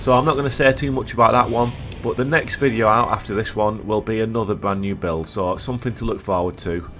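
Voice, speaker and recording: A man with a croaky voice from a cold, giving playful, jokey commentary over gameplay as a video host. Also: on the low side, steady tone, fast talker, a bit bright, slight background noise.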